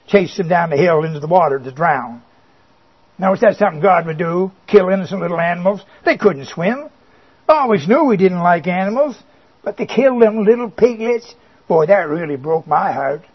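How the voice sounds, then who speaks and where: A sly, boastful devil coaxes and mocks in a high, reedy voice, as a man acts him out. He wheedles, sneers and chuckles at his own jokes. The recording sounds dull and lo-fi. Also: lively intonation, normal speed, slightly rough. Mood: mocking. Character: sly boastful male devil, mocking and coaxing. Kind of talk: comic monologue performance.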